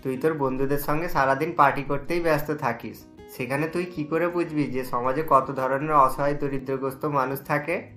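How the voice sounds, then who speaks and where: An actor voicing a wealthy, generous middle-aged father who gently scolds his impatient daughter. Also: medium pitch, fairly even intonation, brisk, soft background music, lightly acted. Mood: lecturing.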